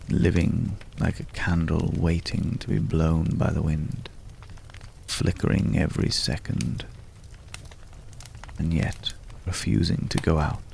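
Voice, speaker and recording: A man voicing a courtly, world-weary old companion in an intimate ASMR roleplay, speaking in formal, archaic phrases with long pauses and a gravelly low voice. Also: a little mellow, slight background noise, full character voice. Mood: wistful, admiring.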